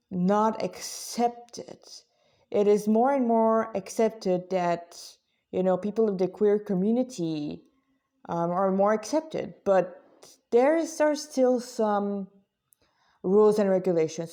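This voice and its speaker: Female spiritual vlogger, soft-edged mellow tone, mid pitch, conversational, stop-and-start delivery with self-corrections. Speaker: religious vlogger, Krishna devotee. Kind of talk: informal spiritual talk to listeners.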